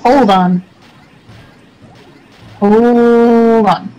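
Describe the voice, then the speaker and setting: A woman's voice: fairly high pitch, steady tone, very slow, dark tone, dull audio. A woman chatting casually as a co-host on a voice call, giving blunt, short replies. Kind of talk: casual chat.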